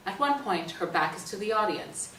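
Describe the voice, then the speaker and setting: A woman's voice: medium pitch, animated, moderate pace, a bit bright. A woman reading stage directions as the narrator of a staged play reading. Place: indoor stage reading, mic at a distance. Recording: roomy distant stage recording, faint noise.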